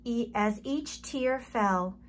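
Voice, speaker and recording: A woman storyteller reading a children's story aloud in an expressive, deliberate manner. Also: a little high, a little hiss or hum.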